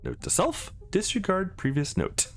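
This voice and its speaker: A man's voice: high voice, wildly swooping pitch, normal speed, creaky, faint music behind, pitched above the performer's own voice, exaggerated voice. A man voicing an easygoing, wry young man on a date in a visual novel, casual and joking.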